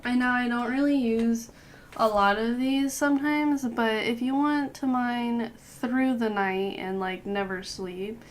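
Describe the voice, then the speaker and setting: Young female gaming host, hoarse with a cold, slow relaxed delivery, throat-clearing habit. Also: fairly high pitch. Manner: unhurried, clears throat often. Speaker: gaming youtuber. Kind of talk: casual game guide voice-over.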